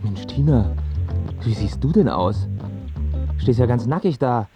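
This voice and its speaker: Vain newly rich singer in a radio play, very lively voice, full of big talk, music behind. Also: male voice, medium pitch, measured pace. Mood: dismissive. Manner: boastful, spouting platitudes and slangy boasts. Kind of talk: radio drama acting.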